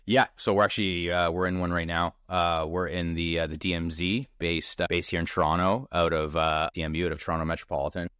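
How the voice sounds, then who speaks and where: A man of thirty, a startup founder as podcast guest, talking in a casual, energetic way with many restarts and fillers. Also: deep voice, fairly quick, hardly any pauses, smooth, no echo, clean studio sound. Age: 30s. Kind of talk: casual interview conversation. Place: Toronto.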